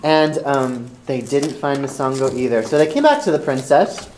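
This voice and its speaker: A woman's voice: extremely low pitch, lively intonation, normal speed, darkish tone, a little hiss or hum, rough recording. A woman narrating an improvised fairy tale for a children's puppet show, in simple, repetitive phrases.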